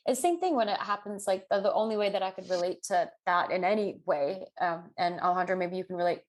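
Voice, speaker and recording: A woman co-hosting a casual podcast conversation. She talks in an animated way and jumps up in pitch whenever she acts out what other people said to her. Her voice sits in an ordinary middle register. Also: quick pace. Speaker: podcast co-host.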